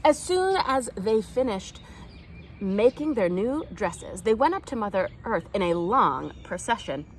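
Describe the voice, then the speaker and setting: Animated woman reading a children's storybook. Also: mid-range voice, a little slow, a little hiss or hum. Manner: animated, acts out the characters' lines. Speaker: storytime reader. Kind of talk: expressive read-aloud of a children's picture book.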